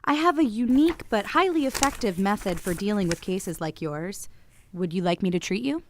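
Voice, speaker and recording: A woman playing a composed, clinical therapist in a radio play, measured and authoritative. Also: medium pitch, expressive, slight background noise, close to an everyday voice. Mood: clinical, assured. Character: composed, clinical female therapist with hypnotic methods.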